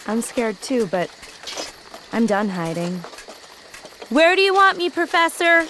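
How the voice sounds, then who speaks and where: A woman's voice: on the high side, very animated, measured pace, pushed and bright, slight background noise, heavily acted, rough recording. A woman playing a determined teenage spellcaster in a fantasy audio drama, speaking earnestly and firmly. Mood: resolute. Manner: earnest, firm.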